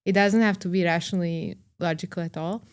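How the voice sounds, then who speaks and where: A young woman from Serbia hosting a podcast interview, her voice smooth and slightly low. She talks openly and relates personal stories, scattering "like" through her sentences. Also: fairly even intonation, fairly quick. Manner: warm, relating personally, fillers "like" and soft laughs. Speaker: podcast host. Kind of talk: conversational interview.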